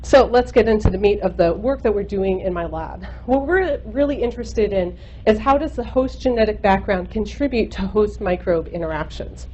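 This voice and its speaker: A woman in her thirties with a naturally loud voice lectures to a room full of listeners. She talks casually, dotting her explanations with ums and uhs. The recording is slightly muffled, with a faint hum underneath. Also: medium pitch, lively intonation, normal speed. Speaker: guest speaker, microbiologist. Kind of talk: university seminar lecture. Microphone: microphone at a lecture.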